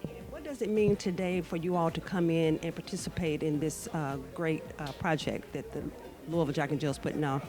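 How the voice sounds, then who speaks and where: A woman's voice: low-pitched, a little fast, over music. A woman hosting a local TV talk show, interviewing guests in an upbeat, enthusiastic manner. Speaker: TV show host. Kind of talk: friendly interviewing.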